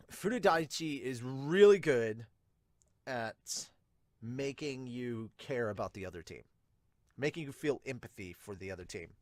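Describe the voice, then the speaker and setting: A man's voice: medium pitch, no echo. A man hosting a podcast in casual review chat, emphatic and given to repeating himself. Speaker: podcast host and manga dubber.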